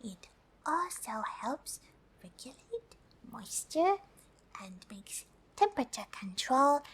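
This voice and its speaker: A woman voicing a gentle, polite female alien for an ASMR roleplay, in a soft breathy whisper with slow, hesitant, stilted English. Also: high-pitched, very animated, full character voice. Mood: earnest, instructive. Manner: slow, deliberate, hesitant, refers to herself in third person.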